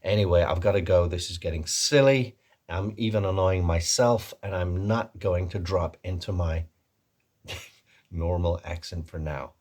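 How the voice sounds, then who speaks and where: Deep, husky American guy, put on by a man. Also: unhurried, darkish tone. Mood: self-mocking, chatty. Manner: rambling, self-deprecating, hesitant. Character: chatty male American video presenter, self-mocking and rambling. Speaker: video host and actor. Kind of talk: casual vlog commentary.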